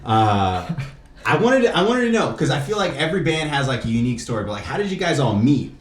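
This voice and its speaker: A man's voice: medium pitch, sing-song, quick pace. Echoey, slangy man hosting a podcast. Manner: slangy, joking, filler-heavy. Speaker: radio podcast host. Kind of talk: casual interview chat. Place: indoor room with couch. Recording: roomy echo, faint noise.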